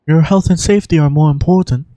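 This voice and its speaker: Performer putting on a breathy British guardian woman. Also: medium pitch, quick pace, warm tone, dry recording, natural voice. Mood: polite, concerned. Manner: polite, formal, reassuring. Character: British female guardian, gracious, composed, protective.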